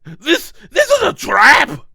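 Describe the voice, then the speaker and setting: A man's voice: extremely high pitch, wildly swooping pitch, slow, gravelly, pushed and bright, no room sound, clean studio sound, pitched above the performer's own voice, exaggerated voice. A man voicing a cowardly, pompous henchman in a manga voice-over, frantic and blustering. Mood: panicked outrage. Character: cowardly, corrupt henchman, pompous then pleading in panic. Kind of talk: amateur manga voice-over acting.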